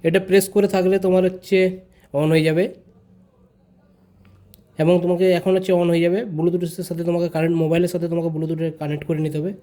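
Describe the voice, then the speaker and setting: A man's voice: a little high, level tone, fast talker, pauses often, warm tone. A man giving a casual step-by-step tutorial, speaking informally to viewers and often repeating his phrases. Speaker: vlogger presenting a tutorial.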